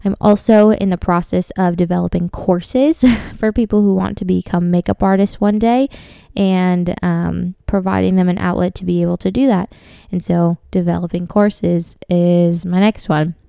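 A young woman hosting her own podcast, talking casually about faith, money and her business goals, with a voice gone dry and raspy. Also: on the low side, fairly even intonation, normal speed, dark tone, no room sound. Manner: chatty, laughs often, frequent ums. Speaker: podcast host.

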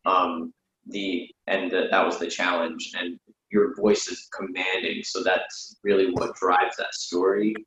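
A man, a playwright, casually discussing his work in a hesitant, halting way. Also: low-pitched, flat delivery, fairly bright tone, a little hiss or hum. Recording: muffled, echoey room audio.

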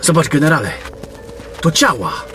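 A man's voice: fairly high pitch, big pitch swings, moderate pace, bright, forceful tone. A man playing an earnest, watchful young warrior who follows a general in a fantasy audio drama. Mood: alert, uneasy. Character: young male warrior, alert companion of a general. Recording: music under the voice.

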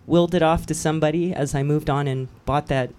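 A woman's voice: deep voice, steady tone, brisk, darkish tone. Chatty woman from Hawaii. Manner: chatty, laughs easily. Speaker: guest, cycling event promoter. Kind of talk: casual radio chat.

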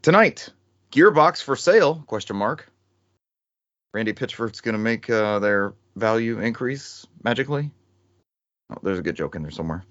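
A man with a lowish voice hosts a gaming podcast in loose, jokey banter. The sound is very dry, with a slightly dull, lo-fi edge. He is happy to swear along the way. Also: expressive, moderate pace, pauses often, fairly bright tone, no echo. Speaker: podcast host.

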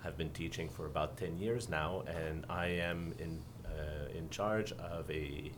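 A very low-voiced man with a Quebec French accent, speaking as a guest on a podcast. He hesitates often, filling pauses with uh. A faint hum sits under his voice. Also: fairly even intonation. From Quebec, Canada. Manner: hesitant, many uh fillers. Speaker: visiting law professor. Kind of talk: podcast interview.

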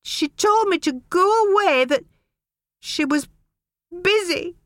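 A woman's voice: animated, slow, dry recording, studio quality, full character voice. A woman voicing a young boy who pleads tearfully with his parents. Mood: pleading. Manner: pleading, high childish voice.

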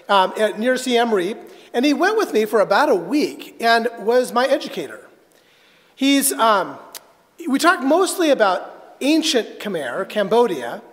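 A man preaching a sermon as a pastor, telling a personal story earnestly and haltingly, with dramatic pauses. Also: high voice, a bit bright. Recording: reverberant hall.

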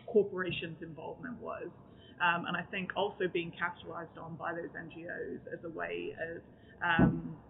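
Gravelly, flat-voiced academic woman. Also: lowish voice. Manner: thoughtful, searching for words, academic. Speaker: author and academic guest. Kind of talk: conversational academic talk at a book event. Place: event room in front of an audience. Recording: tinny phone-like sound with faint noise.

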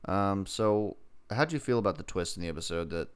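A man hosting a podcast in casual, joking banter, teasing in a dry, deadpan way. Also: deep voice, brisk, smooth. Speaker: podcast host.

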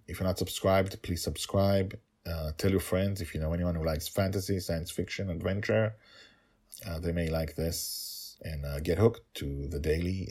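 Middle-aged man, very low voice, raspy edge, storyteller-author, casual explanatory podcast chat. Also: darkish tone. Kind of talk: casual behind-the-scenes talk to listeners.

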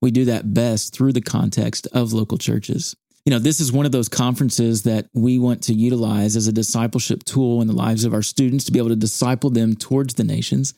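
A man hosting a studio podcast interview, measured and earnest, with church phrasing. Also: medium pitch, steady tone, creaky, mellow, no room sound. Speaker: podcast host, campus ministry staff.

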